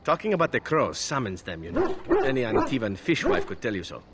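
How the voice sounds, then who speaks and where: A man's voice: medium pitch, huge pitch swings, fairly quick, very noisy. A man voicing a playful, roguish assassin in a fantasy game, with teasing, theatrical phrasing. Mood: teasing, evasive. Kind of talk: fantasy video game dialogue.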